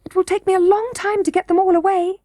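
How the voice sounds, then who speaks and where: A woman's voice: very high-pitched, flat delivery, quick pace, dark tone, dry recording, clean studio sound, pitched above the performer's own voice. A woman voicing an eager, trusting little princess girl, earnest and breathless, in a children's storybook reading.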